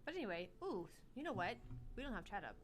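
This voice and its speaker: A woman's voice: mid-range voice, very animated, fast talker, faint music behind. A young woman co-hosting a gaming livestream in casual, playful chat, swearing now and then. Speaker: livestream co-host.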